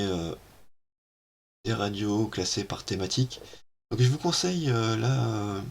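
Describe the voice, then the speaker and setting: A man walking through a software tutorial at his computer, hesitant and full of filler sounds, on an amateur setup. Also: lowish voice, vocal fry, slight background noise. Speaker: tech YouTuber. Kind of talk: casual screen-recorded software tutorial.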